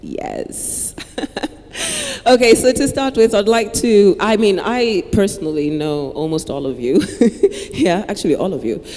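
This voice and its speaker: A woman's voice: medium pitch, animated, a little slow, darkish tone. A woman moderating a panel, informal and laughing easily as she invites each guest to introduce themselves. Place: conference hall with audience. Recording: reverberant hall.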